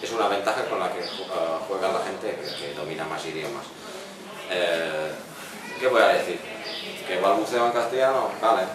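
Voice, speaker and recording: A low-pitched man, not a native Spanish speaker, presents his poetry informally. He pauses and searches for words as he reflects. The recording is distant and very reverberant, with clear background noise. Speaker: poet and musician presenting his book. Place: echoey café event room. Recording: noisy, very echoey room, distant microphone.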